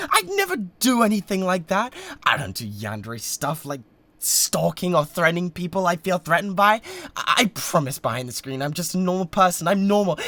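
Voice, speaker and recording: A man's voice: high voice, pushed and bright, full character voice. A British man playing a bratty, obsessive yandere livestreamer in a dramatic audio roleplay, talking to viewers in a theatrical, stammering, defensive way. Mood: sheepish, placating, then desperate, pleading.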